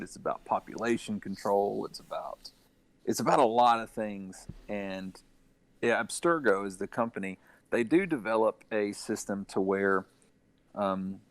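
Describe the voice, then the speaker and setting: A man with a fairly low voice talks casually as a podcast panelist. He explains things conversationally, and the recording is dry, with very little room sound. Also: no echo. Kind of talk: casual discussion of video games and adaptations.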